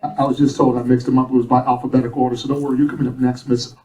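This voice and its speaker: A man's voice: medium pitch, flat delivery, very fast, muffled recording, background noise. Joking man emceeing a graduation. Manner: joking, self-correcting, then formal. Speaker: chef instructor. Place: event hall. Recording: echoey room from far off, audience behind.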